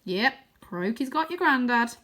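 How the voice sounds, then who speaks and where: A woman voicing an excitable, boastful, matey boy who is half wizard and half ninja in a children's storybook, with dramatic delivery. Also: on the high side, measured pace, pitched above the performer's own voice, heavily acted. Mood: confiding, urgent. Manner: dramatic, switching between shouts and whispers. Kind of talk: children's storybook character reading.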